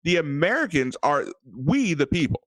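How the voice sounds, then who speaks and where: A man's voice: fairly high pitch, sing-song, normal speed, no room sound. A man ranting in a casual late-night chat, often repeating words as he builds his point.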